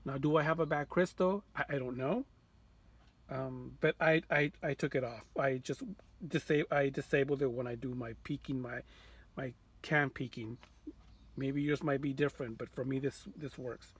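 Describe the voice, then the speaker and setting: A man's voice: mid-range voice, steady tone, normal speed, slightly rough. A man giving an informal hands-on tutorial as a hobbyist, often repeating phrases as he explains. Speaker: radio repair hobbyist demonstrating.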